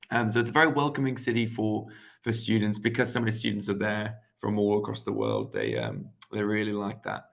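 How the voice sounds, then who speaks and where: Gravelly young man, chatty. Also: on the low side, fairly bright tone. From England. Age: 20s. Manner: friendly and chatty, with hesitant fillers and restarts. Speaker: guest speaker, economist. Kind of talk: casual explanatory talk to students.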